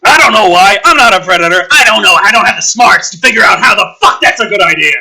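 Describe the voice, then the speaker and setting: A man doing a dramatic script reading with sarcastic, sweary commentary, swinging between hushed narration and exasperated ranting. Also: high-pitched, animated, fast, low-quality recording. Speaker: host of a gaming and commentary channel.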